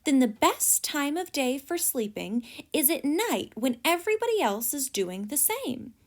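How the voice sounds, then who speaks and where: A woman voicing a kindly, wise little male ant who gently explains and reassures in a children's storybook. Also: high-pitched, big pitch swings, unhurried. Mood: reassuring. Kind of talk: children's storybook read aloud.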